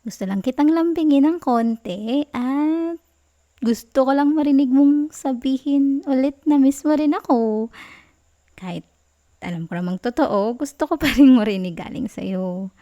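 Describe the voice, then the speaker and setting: A woman plays a sweet, clingy girlfriend with a Filipino accent in an ASMR roleplay. Her voice sits a little high and moves very slowly. She coos and teases softly, affectionate with a playful sulk. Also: highs cut off. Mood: affectionate, wistful. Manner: soft, cooing, teasing, giggly.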